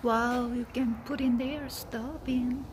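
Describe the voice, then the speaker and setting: A woman talks slowly through a casual walkthrough in a high voice. She drops short, simple exclamations as she goes, recorded on a handheld phone indoors with a faint hiss underneath. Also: fairly even intonation. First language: Korean. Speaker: travel vlogger. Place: vehicle museum indoors.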